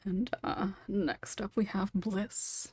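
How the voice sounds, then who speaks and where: Female podcast host, chatty and giggly, breathy texture, very dry recording. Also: mid-range voice, fairly even intonation, takes it slowly, a little mellow, no echo. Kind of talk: casual panel discussion hosting.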